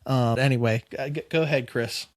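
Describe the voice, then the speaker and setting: A man's voice: mid-range voice, fairly even intonation, brisk, dry recording. A man hosting a podcast, chatting casually and rambling, with many repeated words and "you know"s. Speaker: podcast host. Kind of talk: casual chat.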